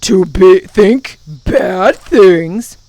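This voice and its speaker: Loud, jeering swamp monster. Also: female voice, medium pitch, lively intonation, no room sound, full character voice. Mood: mocking. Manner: taunting, drawn-out mock-sweet exclamations and chants. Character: jeering, gleeful shaggy swamp monsters, rude and mocking. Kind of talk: children's storybook character voice.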